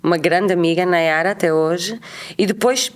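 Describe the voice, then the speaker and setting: A woman in her thirties from Portugal with a European Portuguese accent, a guest telling travel stories in a chatty, lively way. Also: lowish voice, normal speed. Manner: chatty, animated, acts out quoted voices. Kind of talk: casual storytelling interview.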